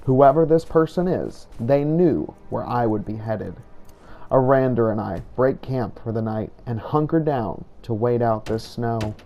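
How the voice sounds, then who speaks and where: A man giving measured, reflective in-character narration of a roleplay video game playthrough. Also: mid-range voice, animated, dark tone, muffled recording, faint music behind.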